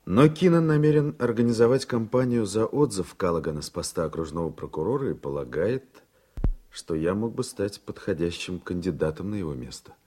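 An actor playing an earnest, politically ambitious younger man in a radio play, with a serious, deliberate way of talking. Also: sits low, animated, darkish tone, breathy edge. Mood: earnest, resolute.

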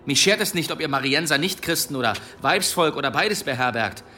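An actor playing a shrewd, zealous medieval demon hunter in a German audio drama, probing and pointed, with archly polite irony. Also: male voice, a little high, lively intonation, brisk, pushed and bright, a little hiss or hum. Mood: probing, needling.

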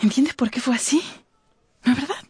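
A dreamy young actress in love, in a radio play. Her voice is very high yet soft-toned and breathy. The recording is dry and close. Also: brisk, dry recording. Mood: giddy, rapturous. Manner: breathless, rapturous, theatrical. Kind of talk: radio drama acting.

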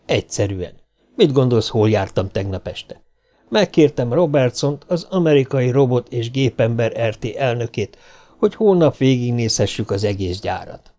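A man's voice: medium pitch, animated, warm tone, lightly acted. A man voicing a self-satisfied father who explains and reasons confidently in a storybook. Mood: smug, persuasive.

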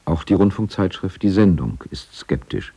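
A man's voice: low-pitched, animated, moderate pace, dark tone, slightly rough, no echo. A man narrating a scripted radio documentary.

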